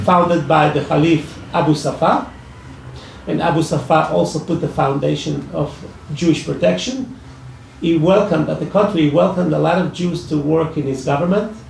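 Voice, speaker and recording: Gravelly man with an Iraqi accent, echoey hall. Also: a little high, a little mellow, slight background noise. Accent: Iraqi Arabic. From Iraq. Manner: halting, many restarts and fillers. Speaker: speaker at a public discussion. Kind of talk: personal testimony at a talk. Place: library event room. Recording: echoey hall, mic from far off.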